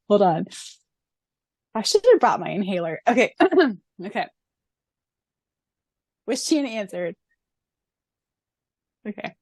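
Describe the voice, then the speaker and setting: A woman's voice: lowish voice, darkish tone, no echo, studio quality. A woman co-hosting a podcast, gushing and reading fan answers aloud between her own theories. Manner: bubbly, gushing, theorizing eagerly. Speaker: podcast co-host.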